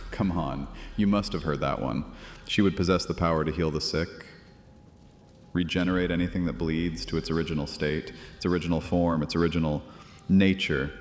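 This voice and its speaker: A man playing a scheming, insistent high priest in a fantasy audio drama, theatrical, persuasive and sardonic. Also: steady tone, moderate pace, close to an everyday voice. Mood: pressing, sly. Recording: long cavernous reverb, music underneath.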